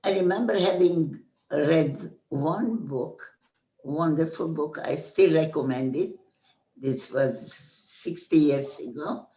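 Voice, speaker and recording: A woman in her nineties with a Hungarian accent, giving slow, halting testimony as a survivor. She often restarts phrases and checks in with "Okay?". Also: low-pitched, warm tone. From Hungarian-speaking Central Europe. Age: 90s. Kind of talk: slow personal recollection. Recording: roomy echo.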